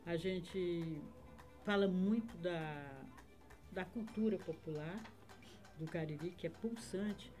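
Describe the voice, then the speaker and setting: A woman's voice: on the low side, slow pace, mellow, faint music behind. A woman from Acre, Brazil, a podcast guest, reminiscing in a rambling way with many fillers and tag questions. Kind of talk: casual conversation, reminiscing.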